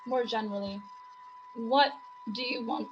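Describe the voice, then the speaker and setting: A woman's voice: on the high side, fairly even intonation, a little slow, muffled recording, noisy background. A woman writing tutor, losing her voice, explaining in long run-on sentences full of rhetorical questions. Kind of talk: conversational teaching talk.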